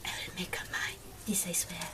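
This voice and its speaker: A woman voicing a lovestruck, possessive male frog in a puppet show, with breathy, swooning vows. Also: a little fast, whispered, lightly acted. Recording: noisy, distant mic with clicks.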